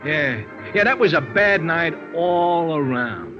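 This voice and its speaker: Music plays behind a dull old radio recording of a chatty American hipster barman. His voice is fairly high and swings widely as he rolls out streetwise slang and puffed-up vocabulary. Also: lively intonation, takes it slowly. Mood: gossipy, knowing. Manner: breezy slangy patter with pompous big words. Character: chatty, self-improving hipster male bartender, breezy and streetwise. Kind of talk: 1950s American radio character acting.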